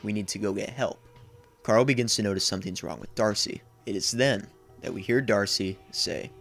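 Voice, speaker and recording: A man's voice: fairly low pitch, normal speed, gravelly, a bit bright, faint music behind. A man in his twenties giving a scripted narration as a video essayist. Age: 20s. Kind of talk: scripted narration, horror series recap. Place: small indoor room.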